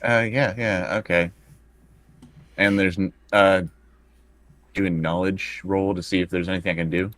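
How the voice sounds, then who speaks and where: Deep-voiced man playing a tabletop game. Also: fairly even intonation, moderate pace, long pauses, a bit bright, no echo. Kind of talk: casual game chat.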